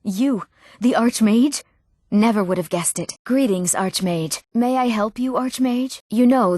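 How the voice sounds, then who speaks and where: A woman's voice: medium pitch, a little mellow, no echo, close to an everyday voice. Young female elf magic student acted by a woman, guarded, studious, youthful mid-range voice, dry fantasy game recording. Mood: earnest. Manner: guarded, earnest. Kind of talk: scripted fantasy game dialogue.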